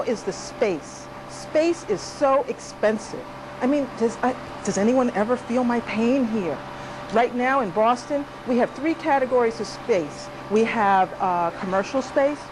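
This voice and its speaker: A woman with a somewhat high voice works through a comedy monologue in a dry, sardonic way. She pokes at the audience with rhetorical questions and lets punchlines stretch and repeat. A faint hiss of background noise sits under her voice. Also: poor audio. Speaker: comic performer reading her piece.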